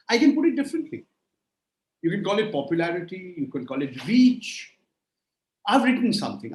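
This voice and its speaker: A man's voice: sits high, a little mellow, roomy sound, rough recording. A man in his sixties answering audience questions in a lecture, emphatic and rhetorical, piling up phrases in lists. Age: 60s. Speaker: guest speaker. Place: remote call from a room.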